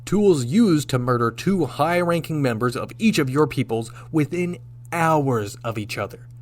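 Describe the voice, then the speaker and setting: A man playing a confident, shrewd starship captain in a sci-fi radio drama, speaking with deliberate, commanding rhetorical pauses. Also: fairly high pitch, lively intonation. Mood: confronting.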